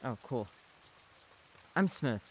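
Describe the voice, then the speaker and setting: An awkwardly friendly teenage boy from across the street, played by a young actor, with a mid-range voice and a casual, halting way of talking. Radio-play delivery with faint noise underneath. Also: mid-range voice, expressive, darkish tone.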